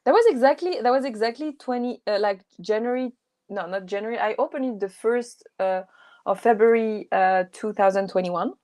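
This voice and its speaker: A woman's voice: a little high, normal speed, no echo. A woman from North Africa whose first language is Kabyle, guesting on a podcast, talking with fillers and frequent laughs. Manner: warm, laughs often, hesitant with fillers. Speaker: guest, co-living space manager. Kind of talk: casual interview chat.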